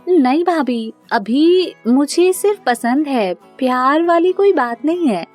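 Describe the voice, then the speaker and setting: A woman's voice: high voice, lively intonation, takes it slowly, darkish tone, soft background music, voice pushed up. A woman voicing an earnest, anxious young woman in love, emotional and pleading, in a cartoon family drama. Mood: shy, hopeful.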